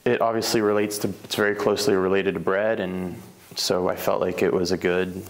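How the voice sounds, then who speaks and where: A man's voice: low voice, little pitch movement, a little fast. A man from Slovenia who owns a bakery, telling his life story in a casual interview, rambling with fillers and self-corrections. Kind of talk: casual oral history interview.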